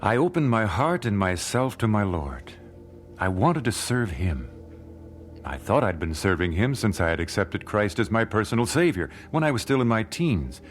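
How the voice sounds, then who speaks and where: A man's voice: on the low side, very animated, normal speed, soft background music. An actor playing an earnest, devout 19th-century family man, reflective, in a radio drama. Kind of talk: radio drama narration and dialogue.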